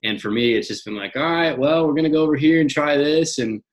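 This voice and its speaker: A young man in his twenties, a podcast guest talking in an energetic casual way, often repeating and restarting words. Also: fairly high pitch, fast talker. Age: young adult. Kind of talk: casual interview chat.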